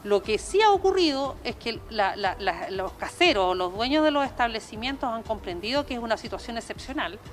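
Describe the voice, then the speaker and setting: A woman's voice: fairly high pitch, animated, fairly quick, hardly any pauses, faint music behind. A middle-aged woman with a Chilean accent, earnest and emphatic, answering a news interview as a small business owner through a face mask. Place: open-sided restaurant terrace by a street. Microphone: handheld reporter microphone. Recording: outdoor street noise with traffic.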